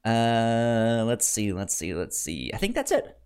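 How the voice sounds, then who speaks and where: A man hosting a podcast, chatting casually about a film in a halting, stammering way that often repeats words. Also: medium pitch, huge pitch swings, darkish tone, clean tone. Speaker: podcast host.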